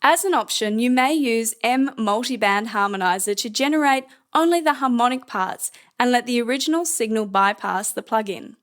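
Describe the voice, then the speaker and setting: A woman narrating a scripted software tutorial in a measured, explanatory manner. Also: on the high side.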